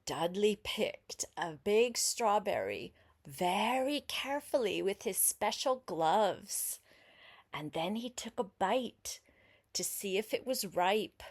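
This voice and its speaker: Slow, expressive older woman reading aloud. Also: medium pitch, husky. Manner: unhurried, expressive, explains words and asks questions. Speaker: grandmother reading a picture book aloud to a child. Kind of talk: storybook read-aloud with chatty asides to a young listener.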